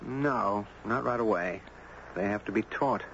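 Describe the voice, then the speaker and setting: An actor playing an easygoing, puzzled dog owner who tells his story in a measured, conversational way in a 1970s radio drama. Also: on the low side, gravelly, a bit bright. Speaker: actor narrating and playing the lead. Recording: dull, noisy old radio broadcast.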